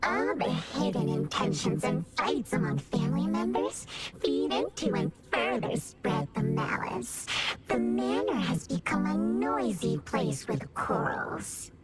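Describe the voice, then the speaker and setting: An actress playing a solemn, ominous female servant in an anime game, speaking deliberately with loud music behind her. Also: mid-range voice, big pitch swings. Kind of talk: dramatic anime game storytelling.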